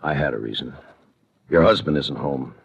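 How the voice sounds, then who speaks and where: Deep-voiced, terse hard-boiled homicide cop. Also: male voice, normal speed, vocal fry. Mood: cool, terse. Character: tough, laconic homicide police lieutenant, adult man. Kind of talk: 1950s radio crime drama acting, with hard-boiled narration. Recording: noisy old 1950 radio recording.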